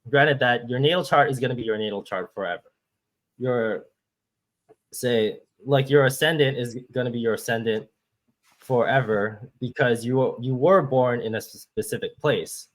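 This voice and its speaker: Guy from hong kong, guest on a call, explains patiently bit by bit, mid-pitch voice. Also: normal speed. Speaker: guest astrologer. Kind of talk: explaining with shared screen.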